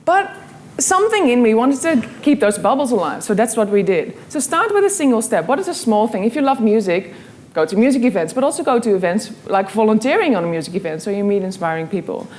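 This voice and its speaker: A woman's voice: on the high side, sing-song, quick pace, darkish tone, a little hiss or hum. Earnest woman with a Dutch accent. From Netherlands. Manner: earnest, storytelling, quotes her own thoughts. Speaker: speaker giving a talk. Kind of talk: motivational talk to an audience.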